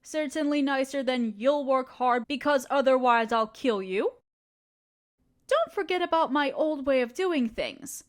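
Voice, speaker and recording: A woman's voice: sits high, animated, unhurried. A woman voicing a ruthless, scheming evil queen in an audio roleplay, speaking in a condescending, sardonic, commanding way. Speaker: voice actor.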